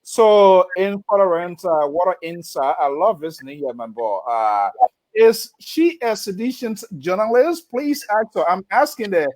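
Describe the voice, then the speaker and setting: A man hosting a radio interview, lecturing listeners in a stern way with sudden emphatic jumps in pitch. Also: lively intonation, measured pace, a little mellow, poor audio. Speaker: radio host.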